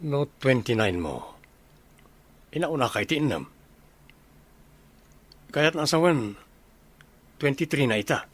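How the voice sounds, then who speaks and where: A man's voice: mid-range voice, lively intonation, slow pace, long pauses. A man playing an inquisitive, somewhat hesitant young soldier in casual Ilocano audio drama dialogue. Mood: curious, casual. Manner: casual, questioning.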